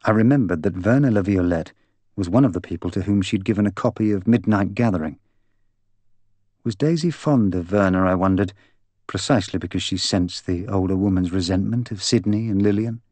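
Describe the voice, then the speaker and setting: A male audiobook narrator with a fairly low voice. He reads scripted fiction in a dry, close recording. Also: a little fast, a little mellow, no echo.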